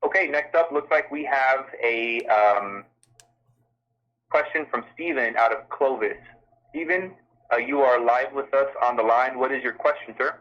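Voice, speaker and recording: A man's voice: mid-range voice, measured pace. A man moderating a live question-and-answer call as an official, speaking in a courteous, formal and somewhat hesitant way. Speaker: call-in town hall moderator and health system official. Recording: dull telephone conference line.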